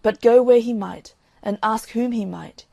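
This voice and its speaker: A woman reading a fairy tale in an expressive, old-fashioned storybook narration. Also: fairly high pitch, measured pace, vocal fry, no echo.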